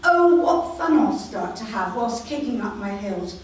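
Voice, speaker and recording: A woman reading her own poems at a live poetry evening, slow and measured, with light humour and no microphone. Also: medium pitch, very animated, a little mellow. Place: community hall with live audience. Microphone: no microphone, voice projected. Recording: echoey hall, voice from far off.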